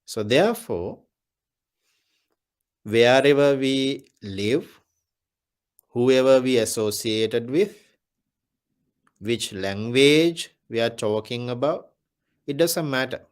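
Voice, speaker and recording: A Buddhist monk giving a Dhamma talk, speaking deliberately and repeating his key phrases. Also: medium pitch, smooth.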